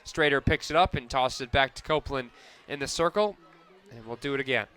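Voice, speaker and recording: A man calling softball play-by-play for radio, narrating each pitch and play in crisp descriptive detail. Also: brisk. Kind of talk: live softball radio commentary.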